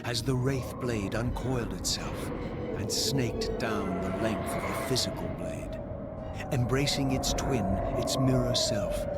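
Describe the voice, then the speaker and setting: An actor playing a tormented male wraith in a video game, narrating his tale in a solemn, eloquent, measured way. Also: lowish voice, natural voice. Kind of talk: dramatic scripted game narration. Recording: loud music under the voice. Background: loud game score.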